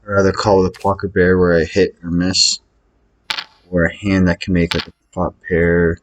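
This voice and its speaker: A man's voice: low-pitched, flat delivery, moderate pace, slight background noise, low-quality recording. A man muttering his way through casual think-aloud commentary as he plays online poker, hesitant and questioning himself. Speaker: online poker player recording own session.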